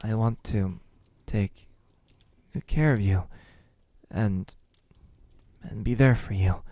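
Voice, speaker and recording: A man playing a centuries-old vampire, lovesick and possessive, in a soft, intimate ASMR roleplay with halting, tender delivery that often drops to a whisper. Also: lowish voice, full character voice. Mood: tender, reassuring. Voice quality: breathy, soft, husky. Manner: slow, hesitant, halting, tender.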